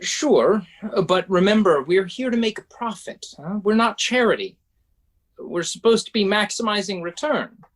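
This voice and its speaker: Casting: male actor playing profit-driven banker, insinuating and needling, slightly raised pitch, very animated delivery for musical dialogue. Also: moderate pace. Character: profit-minded insinuating male bank colleague, smooth and needling. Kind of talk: musical table-read dialogue.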